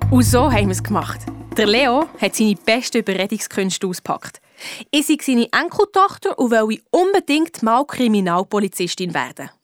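A woman's voice: on the high side, very animated, moderate pace, faint music behind. A woman voicing a young girl detective with a Swiss accent, eager and blunt, telling her story colloquially in a children's radio play. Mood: frank, narrating.

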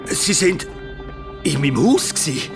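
A man's voice: a little high, sing-song, slow, vocal fry, exaggerated voice. A male actor playing a terrified sawmill owner in a horror radio drama, stammering and pleading in Swiss German. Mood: frightened pleading. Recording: music behind.

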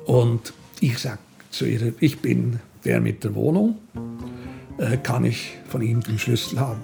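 An older man with a Swiss German accent, telling anecdotes in a casual, animated way, repeating himself as he goes. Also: medium pitch, gravelly. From Switzerland. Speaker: interview guest. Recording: music behind the voice.

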